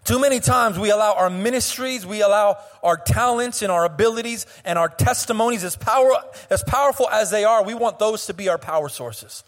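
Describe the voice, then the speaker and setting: A fairly high-pitched young man preaching from the pulpit, his voice a touch hoarse. He leans into a sung, drawn-out delivery, repeats himself and restarts often. His voice rings with the long reverb of a church sanctuary. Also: a bit bright. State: slightly hoarse. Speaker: pastor. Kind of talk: sermon.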